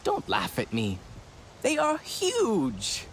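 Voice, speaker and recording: Casting: anxious, chatty young techie for a game, pitch jumping around, slight background noise. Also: male voice, takes it slowly, exaggerated voice. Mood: sheepish. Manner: hesitant, self-correcting, animated. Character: nervous, chatty, eager young tech scavenger. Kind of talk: naturalistic game dialogue.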